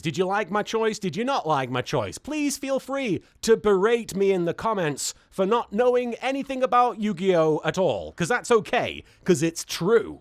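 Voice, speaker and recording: High-pitched, animated man chatting playfully. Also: no echo, clean studio sound. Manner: playful, rambling, mock-dramatic exclamations and asides. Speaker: host of a card pack opening series. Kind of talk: casual comedic chat, reading card text aloud.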